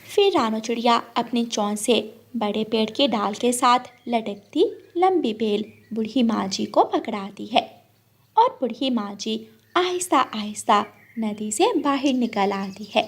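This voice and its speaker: A woman's voice: fairly high pitch, animated, measured pace. A woman narrating a scripted children's story.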